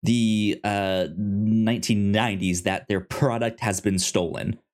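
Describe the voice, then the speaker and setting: A man co-hosting a podcast in casual review chat, halting and often stammering over his words as he explains. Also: lowish voice, fairly even intonation, a little mellow. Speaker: podcast co-host.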